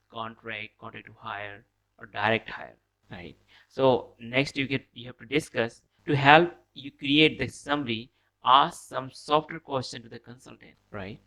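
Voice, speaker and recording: Man, mid-pitched, noticeable vocal fry, instructional narration, didactic, short directives, point-by-point delivery. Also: fairly bright tone, low-quality recording. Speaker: recruiting trainer presenting.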